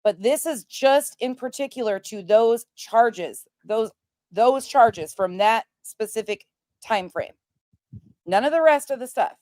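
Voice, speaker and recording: A woman's voice: on the high side, lively intonation, unhurried, darkish tone, no room sound. An American woman giving casual commentary as an online host, emphatic and explanatory, repeating points for stress. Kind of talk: casual commentary, reading documents aloud.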